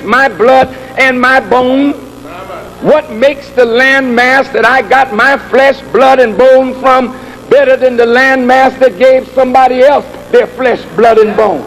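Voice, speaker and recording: High-pitched, slow-speaking male preacher. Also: a bit bright, poor audio. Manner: emphatic, deliberate, rhetorical repetition and questions. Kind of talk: sermon, oratorical public address.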